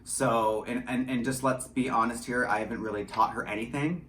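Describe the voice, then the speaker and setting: A man's voice: medium pitch, steady tone, normal speed, a crowd in the background. A man chatting casually in an interview about his creative work, talkative and self-deprecating, with some swearing. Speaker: audio drama writer and director. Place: small home studio, indoors. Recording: roomy echo with faint background noise.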